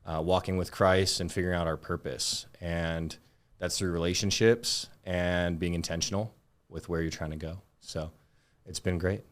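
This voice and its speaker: Low-voiced American man chatting casually. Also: fairly even intonation, normal speed. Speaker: podcast guest, Marine veteran. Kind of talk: casual interview chat.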